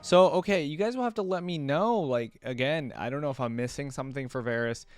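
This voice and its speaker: A man's voice: medium pitch, a little fast, clean tone, faint music behind. A man hosting a reaction video, giving casual, rambling commentary in a conversational way.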